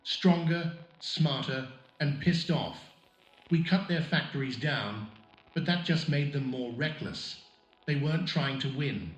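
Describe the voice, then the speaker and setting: A man's voice: fairly high pitch, expressive, a little slow, roomy sound, highs cut off. A man playing a gritty, blunt battle-hardened sergeant interviewed on a scripted wartime radio show. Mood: grim, blunt. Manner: blunt, grim.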